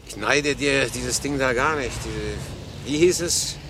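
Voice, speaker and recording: An actor playing a teasing, streetwise refugee, blunt and familiar, in radio play dialogue. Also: male voice, medium pitch, takes it slowly, close to an everyday voice. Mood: mocking. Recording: noisy street ambience with traffic.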